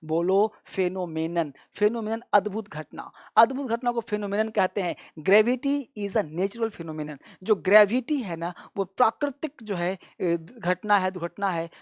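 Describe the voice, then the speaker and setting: A man's voice: sits high, measured pace, few pauses, dry recording. Male vocab teacher, drills words, repeat-after-me lesson, dry close mic. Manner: repetitive, has students repeat words after him; addresses viewers fondly as children. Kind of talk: classroom-style vocabulary lesson.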